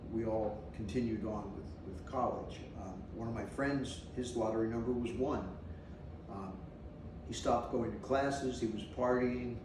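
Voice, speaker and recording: A man in his sixties from New Jersey, recalling his past in a rambling, halting way during an unscripted interview. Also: on the low side. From New Jersey, USA. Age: 60s. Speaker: interviewee sharing memories. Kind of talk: unscripted oral-history recollection. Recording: echoey room, mic at a distance, faint noise.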